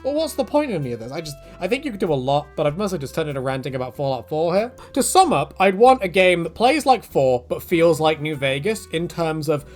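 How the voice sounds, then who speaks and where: A man talking through fan questions in a loose, rambling Q&A, his voice sitting a little high for a man. He leaps up in pitch for quick asides and jokes. Soft music plays faintly underneath. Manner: rambling and animated, jumping pitch for quoted asides. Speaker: YouTube creator answering fan questions. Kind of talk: casual Q&A monologue.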